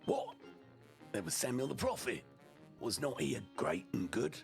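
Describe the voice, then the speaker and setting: A man's voice: mid-range voice, wildly swooping pitch, normal speed, vocal fry, faint music behind, pitched above the performer's own voice. A man putting on a stern, exasperated father.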